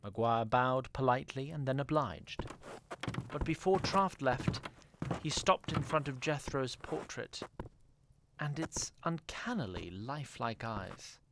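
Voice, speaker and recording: A man narrating a scripted fantasy audio drama in a measured storytelling manner. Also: mid-range voice, expressive, background noise.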